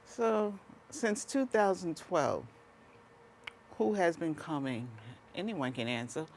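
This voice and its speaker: A woman's voice: fairly low pitch, expressive, slow, darkish tone, slight background noise. A woman hosting a TV talk show, asking interview questions and giving brief encouraging backchannels in a studio.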